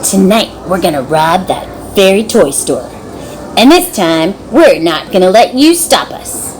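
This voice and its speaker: Storybook villain, a woman acting: gloating burglar, pitched down, threatening, noise behind. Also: animated, a little slow, darkish tone, lightly acted, rough recording. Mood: gloating menace. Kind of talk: children's storybook read-aloud.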